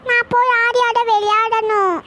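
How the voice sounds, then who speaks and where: An actor voicing a chatty, playful child in an animated kids' story. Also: very high-pitched, a little slow, heavily acted. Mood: eager.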